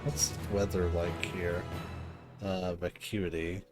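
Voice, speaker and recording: A man's voice: low-pitched, flat delivery, slow pace. A man streaming a video game with informal, sweary live commentary, sometimes reading the dialogue aloud. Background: game music.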